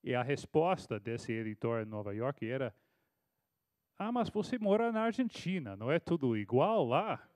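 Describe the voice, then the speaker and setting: A man's voice: mid-range voice, big pitch swings, normal speed, a little mellow, dry recording. Texan guy in his 30s, american accent, stumbles and restarts a lot, dry sound. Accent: American English. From Texas, United States. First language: English. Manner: hesitant, restarts often, storytelling. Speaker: panel speaker, foreign correspondent. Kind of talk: panel talk answering a question. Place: auditorium at a university panel.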